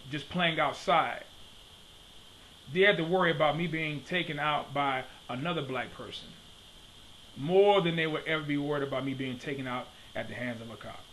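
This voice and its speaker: A man's voice: medium pitch, bright, forceful tone, slight background noise, low-quality recording. A man in his thirties from Detroit, giving an emphatic preaching-style commentary to a webcam in his home study and repeating his questions for force. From Detroit, USA. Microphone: webcam at desk.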